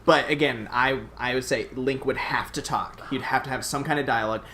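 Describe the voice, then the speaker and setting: A man's voice: mid-range voice, steady tone, a little fast, creaky, pushed and bright, slight background noise. A man co-hosting a casual podcast discussion, speaking in an opinionated, emphatic way. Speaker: podcast co-host. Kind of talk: casual chat about games and movies.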